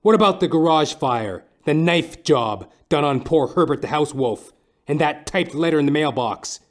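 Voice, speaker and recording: A tough, wisecracking gumshoe played by a man with a fairly high male voice. He talks in brusque hardboiled slang, in the manner of a noir radio play parody. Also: expressive, moderate pace, close to an everyday voice. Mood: accusing, sardonic.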